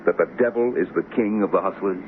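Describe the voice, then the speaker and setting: An actor playing the Devil as a glib, cocky hustler who needles and teases, in 1970s radio drama style. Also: male voice, deep voice, fast talker, phone-quality sound, close to an everyday voice. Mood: cocky, teasing. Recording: noisy, thin band-limited old radio broadcast.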